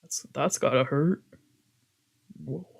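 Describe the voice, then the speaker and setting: A casual game streamer with a mid-pitched voice. They read story text aloud and break off into loose, sweary commentary and exclaimed reactions. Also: slow, creaky, slightly rough. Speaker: streamer doing a let's play.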